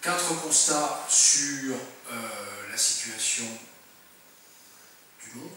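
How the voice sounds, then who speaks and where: A man's voice: medium pitch, sharp tone, a little hiss or hum. Man, middle-aged, military officer lecturing, hesitant, lots of fillers, distant mic, very echoey room. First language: French. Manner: hesitant, many filler sounds and restarts. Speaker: military officer giving a talk. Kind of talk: lecture presentation. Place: conference room.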